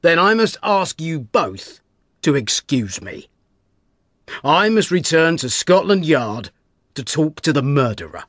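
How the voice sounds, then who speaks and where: Brusque, smug male police inspector for an audiobook acted by a man, emphatic delivery, high-set swooping voice, measured pace. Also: sing-song, fairly bright tone, no room sound, clean studio sound, heavily acted. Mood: cross. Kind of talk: dramatised audiobook storytelling.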